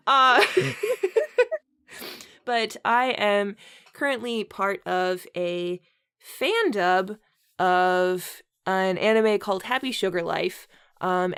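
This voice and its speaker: A woman's voice: medium pitch, fairly bright tone, clear, resonant tone. A woman hosting a comedic read-aloud with casual chat, often breaking into laughter as she talks. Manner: giggly, breaks into laughter while talking. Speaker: host and reader.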